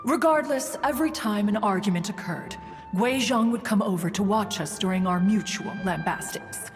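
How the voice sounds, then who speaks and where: A woman's voice: medium pitch, moderate pace, natural voice. A woman playing a proud, wistful ancient female immortal in a game, speaking in formal, archaic, pompous phrases. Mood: haughty, fond. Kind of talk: scripted game dialogue, storytelling. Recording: long hall reverb, music behind. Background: music.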